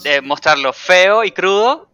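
A man's voice: high voice, animated, a little slow, bright, forceful tone, clear, resonant tone, dry recording. A man interviewing in a casual, informal way, often acting out quoted remarks as he talks. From Latin America, outside Argentina. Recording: dry but slightly dull, band-limited sound.

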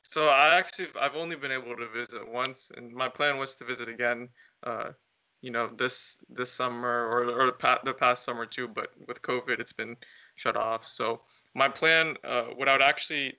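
A man's voice: mid-range voice, steady tone, normal speed, sharp tone, smooth. A young man talking as a podcast guest, easygoing and rambling, with lots of "you know" and "like". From United States. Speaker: guest, campaign founder. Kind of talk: casual podcast interview. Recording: dull lo-fi sound.

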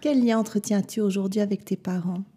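Soft, husky-voiced woman hosting a podcast. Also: medium pitch, a little fast. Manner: calm, soft and attentive, sometimes near a whisper. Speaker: podcast host. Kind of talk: gentle interviewing, probing questions.

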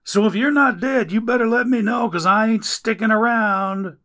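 A man voicing a griping, foul-mouthed male rescuer who grumbles loudly in folksy slang. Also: on the high side, moderate pace, gravelly, voice pushed up.

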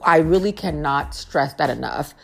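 A young woman with a naturally low, deep-toned voice hosts her own podcast. She talks straight to her listeners in a casual, heartfelt way and repeats phrases to press her point home. Faint music plays behind her. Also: moderate pace, vocal fry, fairly bright tone, slightly rough. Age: young adult. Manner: earnest, repeats phrases for emphasis. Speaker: podcast host.

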